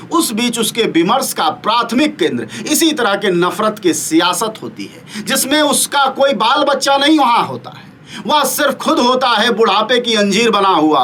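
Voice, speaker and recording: A man's voice: extremely high pitch, pushed and bright, a little hiss or hum. A man delivering spoken opinion commentary as a news commentator, pressing pointed rhetorical questions with a sarcastic, scornful edge.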